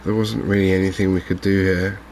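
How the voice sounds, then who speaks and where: A man giving calm, understated, softly spoken ASMR commentary on a video game, in an unhurried way. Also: low voice, slight background noise. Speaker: gaming video host.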